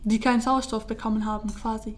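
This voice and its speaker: Young woman, interviewee, halting and even-toned. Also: on the high side. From Syria. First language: Kurdish. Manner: hesitant, many fillers and restarts. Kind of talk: casual personal account.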